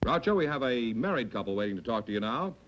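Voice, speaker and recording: A man with an American accent announces for a vintage quiz show. His delivery swings widely in pitch, in classic 1950s broadcast style. The sound is dull, with clear noise on the recording. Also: big pitch swings, fast, a bit bright.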